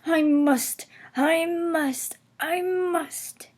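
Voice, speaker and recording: A woman's voice: extremely high pitch, fairly even intonation, very slow, voice pushed up, full character voice. A woman voicing a plucky, earnest little steam engine in a children's storybook, shivering, gasping and exclaiming. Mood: straining, determined.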